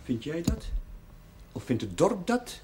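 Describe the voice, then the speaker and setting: A wry young village chaplain. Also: male voice, a little high, very animated, a little mellow. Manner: brisk, wry, firm. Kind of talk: 1970s television drama acting. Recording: echoey room, slight hiss.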